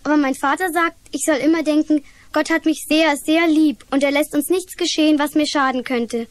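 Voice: sits high, fairly even intonation, normal speed, no echo, lightly acted. A performer playing an earnest, trusting young girl in a children's radio play.

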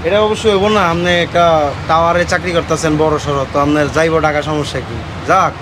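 A young man giving quick, agreeable short answers at an outdoor shop front. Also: a little high, steady tone. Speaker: nephew being interviewed. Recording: noisy outdoor camera mic.

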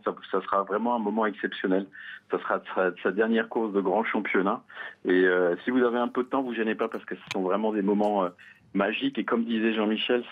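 A man's voice: on the low side, narrow, tinny audio. A man giving informal punditry as an athletics consultant on radio. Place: radio studio.

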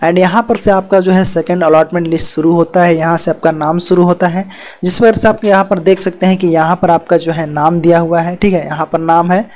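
A man's voice: high-pitched, fairly even intonation, brisk, darkish tone. Man explaining a document on screen. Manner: repetitive, fills with "yahan par" and "theek hai". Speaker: education channel host. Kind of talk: screen-share explainer walkthrough. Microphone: voice-over on screen recording.

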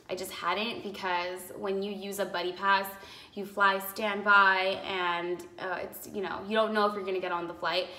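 A young woman in her twenties chatting to camera in a vlog, rambling with lots of fillers, her voice slightly raspy from a cough, filmed on a phone in a living room. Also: medium pitch, sharp tone. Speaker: vlogger. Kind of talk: casual chat to camera. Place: apartment living room, indoors. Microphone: phone camera in front, seated. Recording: echoey room on a phone mic.

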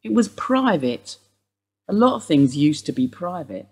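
An actress playing an older woman being questioned by police, guarded and wry in a husky voice. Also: lowish voice, big pitch swings, measured pace, darkish tone, heavily acted. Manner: guarded, dry, wistful. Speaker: police interview suspect. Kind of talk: radio play acting.

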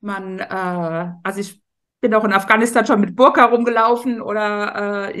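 A woman's voice: medium pitch, a bit bright. A middle-aged woman from Germany talking reflectively as a guest in a casual discussion. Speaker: guest, missionary NGO leader.

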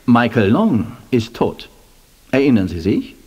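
A man's voice: mid-range voice, sing-song, measured pace, darkish tone, breathy edge. A man playing a stern, proper and reserved employer in a radio play. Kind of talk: German radio play acting.

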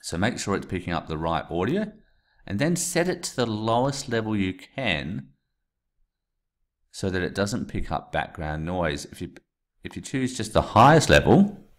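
A man in his thirties talking through a screen-recorded tutorial step by step into a USB desk mic in a small room. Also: low-pitched. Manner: plain step-by-step explaining with asides. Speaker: teacher. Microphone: USB desk mic, webcam framing.

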